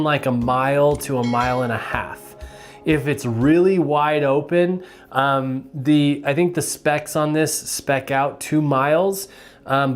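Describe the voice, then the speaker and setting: A man giving a casual, hands-on product review in a plain-spoken, explanatory way. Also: mid-range voice.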